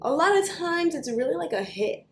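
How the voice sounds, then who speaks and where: Young new york girl venting on her vlog, fast and animated, lots of "like", roomy echo. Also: high-pitched, a little hiss or hum. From United States. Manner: animated, fast, chatty with many likes. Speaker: beauty vlogger. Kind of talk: ranting to camera.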